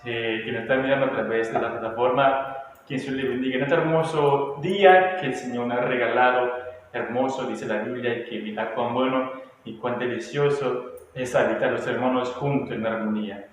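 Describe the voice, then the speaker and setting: A man's voice: mid-range voice, normal speed. A man with a Panamanian Spanish accent hosting a church testimony interview, repeating each question several ways. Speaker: host of a church online show. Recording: echoey hall, distant mic, faint noise.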